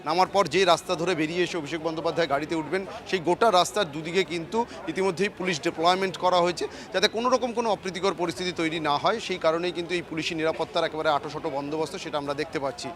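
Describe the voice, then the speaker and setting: A man's voice: on the high side, steady tone, racing, few pauses, a crowd in the background. A man reporting live on breaking news from outdoors in a city, in a wordy, emphatic way. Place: outdoors at a city courthouse compound.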